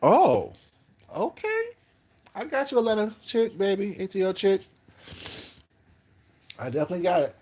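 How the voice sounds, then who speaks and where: Chatty man with a higher voice on a livestream. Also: huge pitch swings, moderate pace, long pauses, darkish tone. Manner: rambling, repeats words, greets viewers by name. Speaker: YouTube livestream host. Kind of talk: casual livestream chat reading comments.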